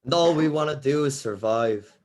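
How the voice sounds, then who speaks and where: A man's voice: mid-range voice, expressive, moderate pace, darkish tone, natural voice. A man reading the part of a wry, shaken young band member confessing to camera in a horror comedy table read. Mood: weary, shaken.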